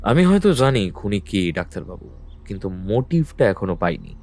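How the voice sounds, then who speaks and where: A man playing a composed, incisive detective in a Bengali audio drama, speaking in a measured, assured, businesslike way. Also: lowish voice, sing-song, a little mellow. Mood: calm, probing. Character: composed, incisive male Bengali detective, a self-styled truth-seeker.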